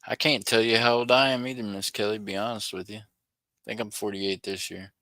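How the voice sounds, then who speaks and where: Man, forties, low bright voice, rambling live-stream chat, dry close sound. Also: steady tone, brisk, no echo. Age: 40s. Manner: rambling, chatty, talks to viewers by name. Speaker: coin roll hunter streaming live.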